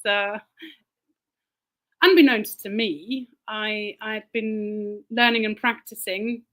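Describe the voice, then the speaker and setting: A woman who grew up in Germany with German as her first language, a teacher giving a casual talk over a video call, chatty and quick to laugh. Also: medium pitch, pushed and bright, poor audio. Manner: chatty, laughs often, repeats words while thinking. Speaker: guest speaker, teacher.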